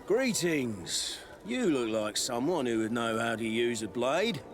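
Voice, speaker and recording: A man's voice: mid-range voice, sing-song, a little slow. A man playing a wary, blunt armorer in a video game, a guarded salesman. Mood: hawking wares. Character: wary, blunt male armorer and weapons merchant. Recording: music behind the voice.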